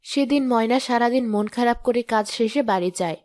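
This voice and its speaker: A woman's voice: on the high side, fairly quick, darkish tone. A woman giving scripted narration for a cartoon story.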